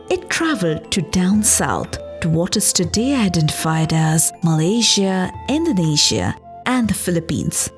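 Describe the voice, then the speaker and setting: A woman narrating a scripted story as a podcast host, in a measured, expressive explanatory way. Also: fairly low pitch. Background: background music.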